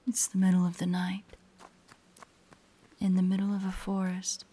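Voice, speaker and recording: A woman playing a predatory, teasing spider-woman monster in a horror audio roleplay, with a breathy, whispery voice and a taunting, menacing manner. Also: mid-range voice, completely flat delivery, mellow, lightly acted. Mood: playful menace. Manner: slow, taunting, playfully sinister.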